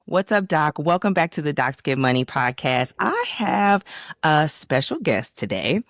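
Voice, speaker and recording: Upbeat woman podcast host, lively pitch. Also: a little slow, dry recording, studio quality, soft background music. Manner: hype, slangy, playful. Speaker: podcast host and business coach. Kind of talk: energetic scripted intro, then casual interview chat.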